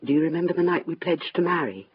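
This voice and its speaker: An actress playing a gentle, anxious older widow in a radio drama, speaking earnestly and tenderly. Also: female voice, low-pitched, animated, fast talker, breathy edge, muffled recording. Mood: tender, wistful.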